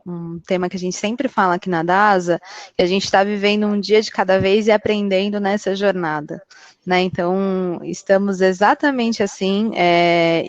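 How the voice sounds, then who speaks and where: Woman panelist on a muffled call. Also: medium pitch. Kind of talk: closing remarks on a webinar panel.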